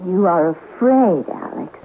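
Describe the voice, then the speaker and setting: A woman's voice: lowish voice, big pitch swings, measured pace, vocal fry, slight background noise, heavily acted. Taunting, theatrical lady ghost, muffled radio. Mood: menacing, taunting. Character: ghost of a murdered captain's wife, coquettish and menacing. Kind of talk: 1970s radio drama acting. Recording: muffled old radio broadcast, music underneath.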